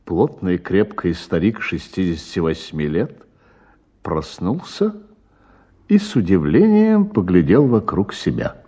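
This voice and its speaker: A man's voice: low-pitched, very animated, measured pace, dark tone, breathy edge. A man narrating a literary short story in a dramatic audiobook style.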